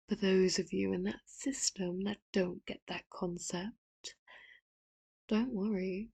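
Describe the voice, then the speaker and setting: A woman voicing a manipulative, doting villain in an ASMR audio roleplay, speaking slowly and softly with sudden sharp threats, close to the mic. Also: medium pitch, creaky, breathy edge, dry recording, full character voice. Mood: grandstanding. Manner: slow, hushed, often whispered, turns sharp and loud for threats. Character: doting, manipulative villain, soft-spoken and menacing.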